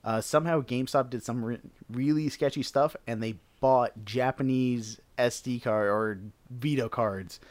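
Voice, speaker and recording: A man talking games with friends on a casual podcast. His delivery is animated and joking, full of rapid asides, at a middle pitch. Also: no echo. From United States. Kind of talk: casual gaming chat.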